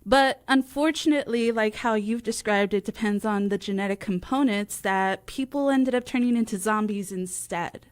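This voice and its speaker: A woman's voice: mid-range voice, normal speed, few pauses. A woman speaking as the co-host of a live radio talk show.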